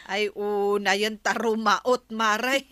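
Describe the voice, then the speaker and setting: A woman playing a chatty, inquisitive neighbour in an Ilocano comedy drama, expressive and full of exclamations. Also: mid-range voice, takes it slowly, no echo. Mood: concerned, eager.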